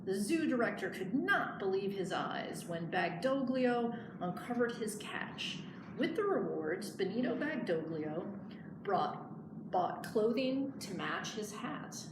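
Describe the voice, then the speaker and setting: A woman librarian reading a picture book aloud in an expressive storytelling style. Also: medium pitch, takes it slowly. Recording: echoey room, clear background noise.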